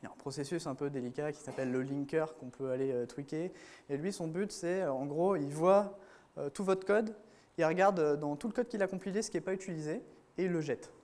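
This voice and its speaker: A man's voice: a little high, brisk, darkish tone. A man giving a casual technical talk to a room of developers at a conference, with many fillers and restarts. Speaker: conference speaker.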